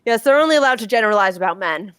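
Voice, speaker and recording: A woman's voice: medium pitch, animated, racing, over a noisy crowd. A woman in casual banter with friends, speaking informally as a member of a voice cast.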